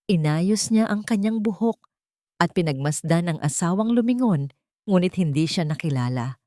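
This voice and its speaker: A woman's voice: fairly low pitch, animated, moderate pace, dark tone. A woman with a Filipino accent reading a scripted dramatic story as an audiobook narrator.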